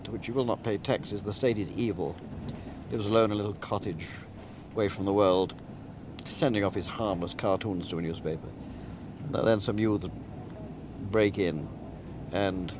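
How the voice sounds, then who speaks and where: Guy w a lowish voice telling a book plot, deliberate n emphatic, pretty noisy recording, sounds like street outside. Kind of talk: spoken plot summary, literary talk.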